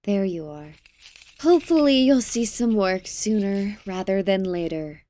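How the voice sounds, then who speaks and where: A woman acting an unhurried, expressive regal queen. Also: mid-range voice, lightly acted. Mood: gracious. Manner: measured, haughty, teasing. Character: regal, wry queen, fond but condescending. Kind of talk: audio drama acting.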